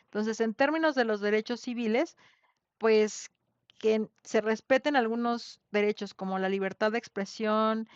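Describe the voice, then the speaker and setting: A woman's voice: on the high side, normal speed, no room sound. Female Mexican lecturer, conversational, checks in with students often, dry and dull lo-fi online sound. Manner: conversational, frequent tag questions. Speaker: teacher leading an online class. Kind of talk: informal lecture with questions to students. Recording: dull lo-fi online class audio.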